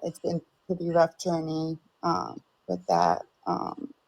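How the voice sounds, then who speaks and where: A young woman from Ukraine, a podcast guest telling her own story in a halting, hesitant, soft-spoken way over a remote call. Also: low voice, sing-song, rough recording. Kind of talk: personal testimony.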